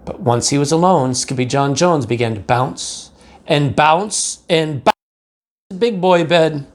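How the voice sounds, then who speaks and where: A man's voice: fairly high pitch, measured pace. An American man, a pastor, talking simply and directly to young children and narrating a picture book. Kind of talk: children's storybook read-aloud.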